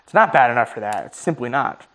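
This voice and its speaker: A man's voice: mid-range voice, expressive, quick pace, fairly bright tone, low-quality recording. A man in his early twenties musing aloud in a casual monologue, swearing freely and laughing at his own slips, his voice starting to tire. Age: 20s. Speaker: vlogger.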